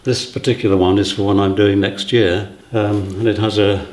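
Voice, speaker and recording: A man explaining his design work informally, in a halting, matter-of-fact way. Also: lowish voice, fairly even intonation, a little mellow. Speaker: theatre set designer. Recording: echoey room.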